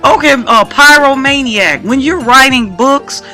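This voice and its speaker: A woman's voice: high voice, unhurried, sharp tone, faint music behind. A woman hosting a radio interview in an informal, chatty, colloquial way. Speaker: radio show host.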